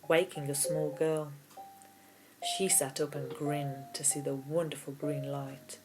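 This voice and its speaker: A woman's voice: sits low, background noise. A woman narrating a children's novel aloud in a storybook reading style, as an audiobook reader. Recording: keyboard music behind the voice. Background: keyboard music.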